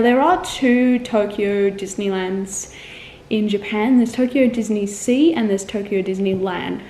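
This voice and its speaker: A woman's voice: mid-range voice, dark tone. A young Australian woman vlogging casually to camera, rambling with frequent ums and self-corrections. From Australia, Melbourne. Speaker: travel vlogger. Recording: echoey room with faint ambient noise.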